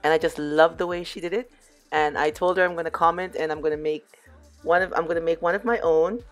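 Hoarse middle-aged woman, lowish voice, easygoing tutorial narration with faint music behind. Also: quick pace. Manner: relaxed, chatty, addresses viewers as "you guys". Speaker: DIY crafts vlogger. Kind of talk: casual crafting tutorial voice-over.

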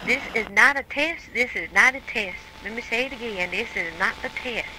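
A woman's voice: a little high, normal speed, lightly acted. A woman playing an insistent, folksy radio broadcaster giving an emphatic storm warning in a radio drama. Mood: stern, urgent. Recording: noisy radio broadcast with rain behind.

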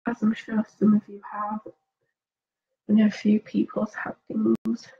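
A woman vlogger chatting casually while applying her makeup, a little sniffly with a cold, asking viewers questions and murmuring soft asides as she concentrates. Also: mid-range voice, completely flat delivery, a little slow, vocal fry, highs cut off, a little hiss or hum. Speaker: beauty vlogger.

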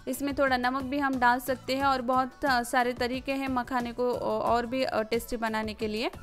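A woman's voice: high-pitched, flat delivery, moderate pace. A woman narrates a casual home cooking voice-over in a hesitant, explanatory way, often repeating words. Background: background music.

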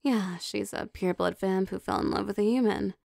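A woman playing an affectionate, possessive vampire girlfriend in an intimate ASMR roleplay, speaking in a soft, teasing, coaxing manner. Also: mid-range voice, fairly even intonation, normal speed, creaky, no room sound, clean studio sound. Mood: teasing, fond. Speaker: voice actor.

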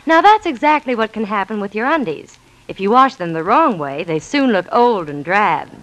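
A woman's voice: mid-range voice, animated, normal speed, a bit bright, low-quality recording. A woman giving a scripted, chatty and persuasive commercial in 1940s radio style. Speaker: commercial spokeswoman. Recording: vintage 1940s radio broadcast.

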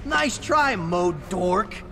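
Wisecracking hero, video game, sarcastic, high voice, swooping pitch, loud music. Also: male voice, expressive, very slow, gravelly, full character voice. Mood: mocking. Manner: sarcastic, irreverent. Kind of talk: video game cutscene acting.

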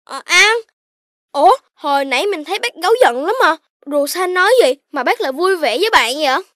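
A woman voicing an earnest, excitable young cartoon puppy with a childlike, expressive southern Vietnamese delivery. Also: high-pitched, fairly quick, dry recording, studio quality. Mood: curious. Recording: music behind the voice.

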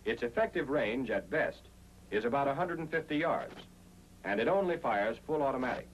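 A man's voice: mid-range voice, animated, normal speed, a little hiss or hum, rough recording. An American man reading a scripted 1940s instructional film narration in an emphatic, explanatory way, on an old film soundtrack.

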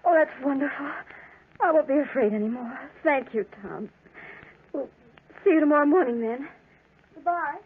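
High-pitched frightened farm wife. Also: female voice, animated, a little hiss or hum. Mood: tearful relief. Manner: pleading, halting, emotional. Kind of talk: 1940s radio drama acting. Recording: narrow, tinny old 1940s radio recording.

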